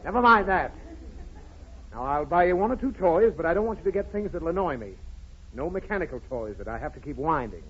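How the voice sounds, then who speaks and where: A man's voice: on the high side, animated, fairly quick, fairly bright tone. An American man playing an exasperated, blustering father who scolds and protests in an old radio comedy. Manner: scolding, protesting, easily flustered. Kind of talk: 1930s radio comedy acting. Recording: muffled, hissy old 1930s radio recording.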